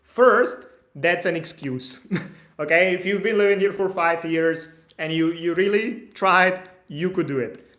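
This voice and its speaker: A man's voice: sits high, unhurried, fairly bright tone. A man in his thirties hosting a live stream, reading out viewer questions and answering them in a loose, chatty way, with lots of fillers and restarts. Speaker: immigration consultant answering live chat questions. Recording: echoey room.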